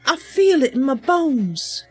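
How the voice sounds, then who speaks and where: A woman's voice: high voice, very animated, takes it slowly, faint music behind, exaggerated voice. A woman voicing an earnest, kindly female wood elf adventurer in a fantasy game roleplay, speaking emphatically. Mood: reassuring.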